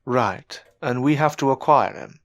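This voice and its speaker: A man's voice: medium pitch, lively intonation, measured pace. A man playing a self-doubting novice magician, desperate to succeed, in an audio drama, with formal, old-fashioned phrasing. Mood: resolved. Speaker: voice actor in an amateur audio drama.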